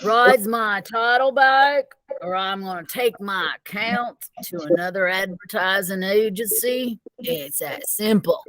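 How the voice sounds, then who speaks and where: A woman's voice: mid-range voice, unhurried, few pauses, a bit bright, no echo, low-quality recording. A woman teacher reading the dialogue of a children's novel aloud, a little away from the microphone. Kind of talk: read-aloud storybook dialogue.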